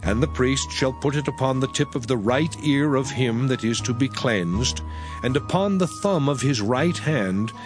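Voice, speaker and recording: Male narrator, scripture reading, lively pitch, solemn and measured, faint music underneath. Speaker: scripture reader.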